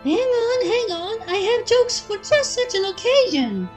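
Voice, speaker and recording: Very high, hyper party pony. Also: female voice, measured pace, soft background music, exaggerated voice. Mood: playful. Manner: excitable, squealing. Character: hyper, giggly, mischievous young female party pony.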